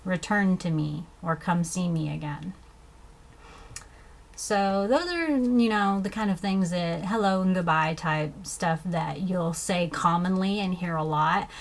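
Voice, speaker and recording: A woman from the States, teaching basic phrases as a beginning learner, pronouncing words slowly and deliberately. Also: fairly low pitch. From southern United States. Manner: careful, deliberate pronunciation with fillers. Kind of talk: slow instructional explanation.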